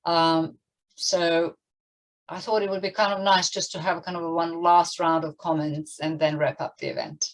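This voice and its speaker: A woman moderating an online panel discussion, speaking in hesitant, halting phrases. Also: fairly low pitch, level tone, low-quality recording. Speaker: event moderator.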